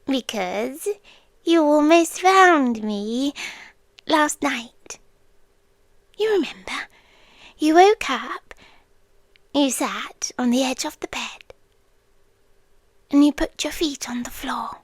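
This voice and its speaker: A British woman playing an obsessive, possessive girlfriend in an ASMR roleplay, whispering softly and intimately close to the microphone. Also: high-pitched, animated, no room sound, heavily acted. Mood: menacing intimacy. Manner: slow, breathy, intimate whispering mixed with soft voice. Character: obsessive, possessive yandere girlfriend lurking under the bed. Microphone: close, dry microphone.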